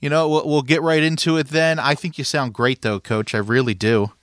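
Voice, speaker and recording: Man, podcast host, high voice, upbeat, brisk, dry close recording. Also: steady tone, a bit bright, dry recording. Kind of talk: casual sports podcast chat.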